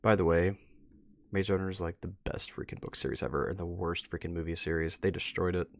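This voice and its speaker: A man doing casual live commentary as a streamer, talking in a slangy, excitable way with mild substitute swears. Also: deep voice, fairly quick, gravelly, no room sound, studio quality. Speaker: streamer reacting to videos.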